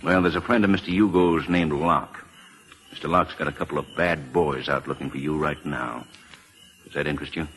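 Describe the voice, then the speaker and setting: A man's voice: rumbling low, steady tone, a little fast, fairly bright tone. An actor playing a wry, patient American lawman with folksy understatement in a 1940s radio drama. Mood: cool, needling. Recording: reverberant old radio broadcast.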